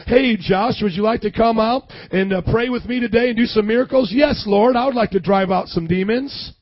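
Man, preacher, hoarse, high-pitched, energetic call-and-response sermon, muffled lo-fi sound. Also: normal speed. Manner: energetic, calls out to the congregation. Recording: dull lo-fi sound.

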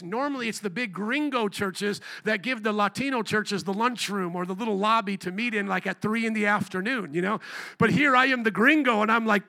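A middle-aged man from Indiana preaching a sermon as pastor, animated, rising to near shouts on rhetorical questions. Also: sits high. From Indiana, USA.

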